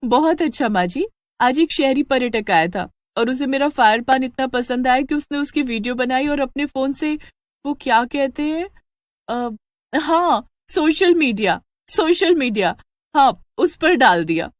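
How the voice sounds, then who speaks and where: Kind young-sounding village vendor woman acted by a female narrator, raised pitch, polite, earnest, cartoon story delivery, dry sound. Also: expressive, unhurried, no room sound. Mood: caring, earnest. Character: kind, dutiful village woman paan seller. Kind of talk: animated Hindi storybook acting.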